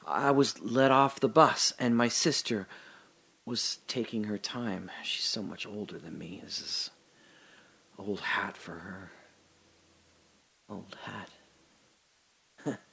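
Man doing a trapped man, audio drama, muttering, halting, breathy, slow. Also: lowish voice, bright, forceful tone, exaggerated voice. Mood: reminiscing. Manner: halting, muttering to himself, drifting into memories. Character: adult man trapped in a dark airless space, confused and scared.

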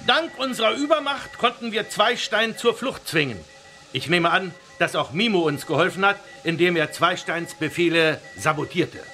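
A loyal military colonel in a sci-fi radio play. His voice is high and bright, his manner formal and respectful. Faint music plays behind. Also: animated, normal speed, exaggerated voice. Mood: formal, earnest. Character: dutiful, crisp military colonel reporting to his general. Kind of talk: German children's audio drama.

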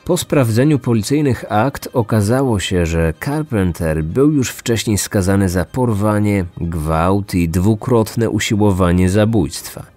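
A man's voice: on the low side, lively intonation, a little slow, hardly any pauses, darkish tone. A man in his thirties hosting and narrating a scripted true crime podcast. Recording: music behind, some reverb. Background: music.

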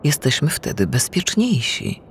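A gentle, protective mother whale in a children's storybook, read by a man. The voice is patient and slow, with creaky edges and expressive intonation. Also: a little high, slight background noise, voice pushed up. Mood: cautioning. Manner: patient, cautioning, tender. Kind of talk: storybook reading.

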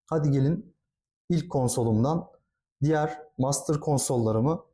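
A man's voice: medium pitch, a little slow, dark tone. A man giving a product demonstration as a company presenter, speaking politely and formally, explaining things step by step.

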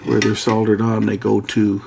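A man's voice: fairly low pitch, completely flat delivery, normal speed, creaky. A middle-aged man giving casual, blunt, slightly grumbling commentary while doing hands-on repair at an indoor workbench. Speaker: repair video host. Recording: faint background noise with clicking.

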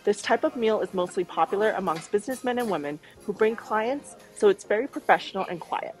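A woman's voice: mid-range voice, animated, fairly quick, a little mellow, low-quality recording. A woman narrating a travel vlog voice-over in an informative, upbeat tour-guide manner. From Taiwan. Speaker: travel vlogger. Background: faint background music.

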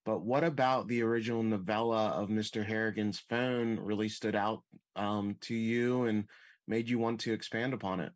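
A man's voice: fairly low pitch, steady tone, moderate pace, dry recording. A man working as an entertainment journalist, asking conversational interview questions.

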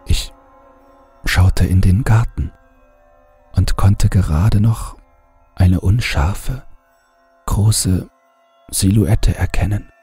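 A man reading a horror story aloud in a calm, measured first-person narration. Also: low-pitched, breathy edge, soft background music.

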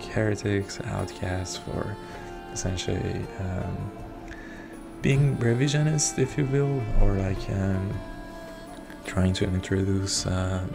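A man whose first language is Persian, giving hesitant, filler-heavy commentary on a strategy game. His voice is deep and gravelly, slow and flat in pitch. Music plays clearly under his voice. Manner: hesitant, many ums and uhs. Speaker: strategy game video reviewer. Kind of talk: unscripted gameplay commentary. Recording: voice over clear background music. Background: background music.

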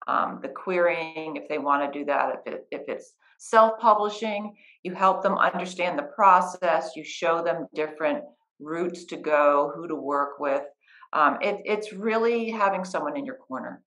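A woman's voice: deep voice, normal speed, a bit bright, rough recording. A middle-aged woman, a writing coach and editor, patiently explaining the steps of her work in a conversational interview. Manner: patient, practical, walks through steps. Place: living room, sitting on a couch beside a guest.

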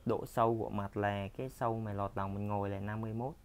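Chatty man, low voice. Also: steady tone, fast. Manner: chatty, repetitive, filler-heavy. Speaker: furniture workshop seller. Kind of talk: casual sales walkthrough. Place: furniture workshop floor.

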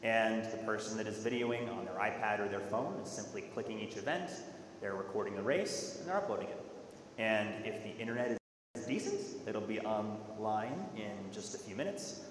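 A man giving an explanatory, halting product presentation at a conference in a large auditorium. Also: fairly low pitch, expressive. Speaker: software presenter. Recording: echoey hall with faint noise.

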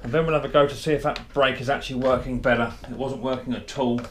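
A man's voice: mid-range voice, fairly even intonation, fast. A man, casually talking through a chainsaw brake repair in his workshop, chatty and a bit self-deprecating. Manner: chatty, self-deprecating, thinks aloud while working. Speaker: small engine repair video host. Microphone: head-mounted camera. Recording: clear background noise and tool clicks.

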